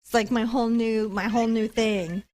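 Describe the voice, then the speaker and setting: A woman's voice: fairly high pitch, steady tone, moderate pace, a little mellow, slight background noise. A woman chatting casually and playfully as the host of an art tutorial livestream, thanking supporters.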